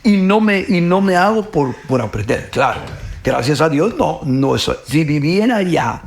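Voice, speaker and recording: A man's voice: on the high side, big pitch swings, moderate pace, gravelly. A middle-aged man with a Guatemalan Spanish accent, speaking earnestly about faith, often repeating words and ending with "pues, ¿verdad?". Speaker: host. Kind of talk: reflective faith talk.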